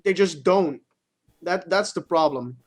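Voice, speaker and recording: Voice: mid-range voice, measured pace. A co-host of a gaming stream chatting casually about esports in an informal way, swearing freely.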